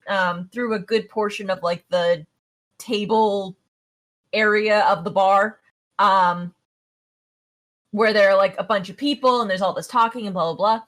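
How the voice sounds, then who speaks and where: A woman co-hosting a casual podcast and walking through an episode's plot in a loose, chatty way. She laughs often as she talks, and her voice sits at an ordinary middle pitch. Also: a bit bright. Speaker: podcast co-host.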